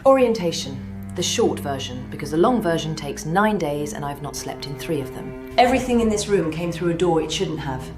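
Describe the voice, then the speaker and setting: An actress playing a brisk, wry woman in charge of a secret archive, clipped and dry, in an audio drama. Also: deep voice, animated. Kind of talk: scripted audio drama acting. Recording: music under the voice.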